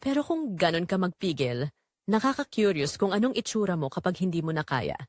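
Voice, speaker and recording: A woman narrator voicing a calm, bold, teasing neighbour woman in an audio romance. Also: fairly low pitch, fairly quick, no room sound, clean studio sound. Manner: soft-spoken, seductive. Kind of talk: audio romance story dialogue.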